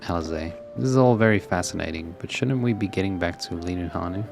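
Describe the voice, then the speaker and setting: A man's voice: low-pitched, a little fast, gravelly, a little mellow. A man streaming a video game, reading dialogue aloud and adding casual, reactive asides. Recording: stream voice over game music. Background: game music.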